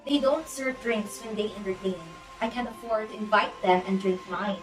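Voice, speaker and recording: A woman's voice: medium pitch, normal speed, distant mic, over music, lightly acted, poor audio. A woman playing a snobbish, scheming society hostess in an amateur play, theatrical and imperious. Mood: dismissive. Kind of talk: amateur stage-play acting.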